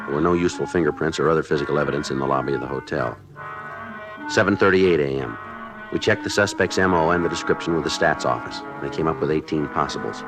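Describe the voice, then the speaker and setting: Very deep-voiced, terse detective. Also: male voice. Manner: clipped, deadpan, polite. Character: terse, matter-of-fact male police detective sergeant. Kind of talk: 1950s radio drama acting with scripted narration.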